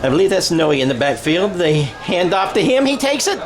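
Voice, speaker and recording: A man doing radio-style football play-by-play, excitable, thinking aloud and correcting himself as he follows the action. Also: high-pitched, moderate pace.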